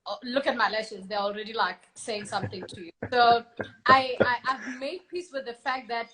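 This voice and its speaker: A woman, a media personality, talking loudly and passionately as a guest in a casual live video-call interview. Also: on the high side, moderate pace, crowd noise, rough recording. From South Africa. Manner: loud, passionate, expressive delivery. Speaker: radio and television personality, guest.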